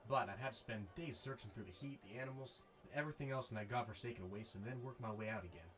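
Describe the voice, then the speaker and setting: An actor playing a wry, wary adventurer for hire, casual and skeptical, in naturalistic film dialogue. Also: male voice, fairly low pitch, fast, a bit bright, natural voice.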